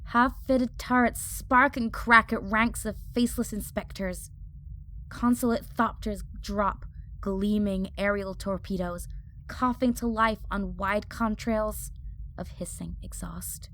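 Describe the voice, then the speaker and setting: A woman's voice: medium pitch, steady tone, takes it slowly, a bit bright, slight background noise, rough recording. A woman playing a hot-headed young female fire mage, narrating an audio drama in the first person with intense, clipped phrases. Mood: urgent, determined.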